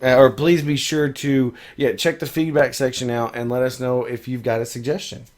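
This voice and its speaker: A man co-hosting a podcast in casual chat, hoarse from losing his voice and laughing often. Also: mid-range voice, little pitch movement, normal speed, a little mellow. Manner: laughs often, rambling and loose. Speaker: podcast co-host.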